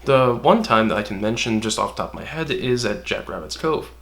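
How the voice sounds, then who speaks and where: A man's voice: on the low side, expressive, fairly quick, a bit bright. A man co-hosting a casual analytical fan discussion, explaining his ideas with emphasis. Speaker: co-host, fan commentator.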